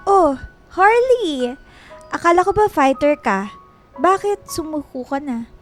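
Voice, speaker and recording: A haughty female boss in a radio drama. Her high voice taunts and looks down on whoever she addresses, drawing out words over soft music. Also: very animated, slow pace, full character voice. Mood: mocking. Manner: taunting, condescending, stretches words.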